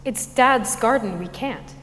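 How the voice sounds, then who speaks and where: A woman's voice: on the high side, slow pace, fairly bright tone. A woman playing a withdrawn, grieving young daughter in a staged musical reading, speaking in terse, subdued replies. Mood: withdrawn, resistant. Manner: terse, subdued when spoken. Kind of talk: live musical theatre acting. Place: high school stage. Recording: echoey hall.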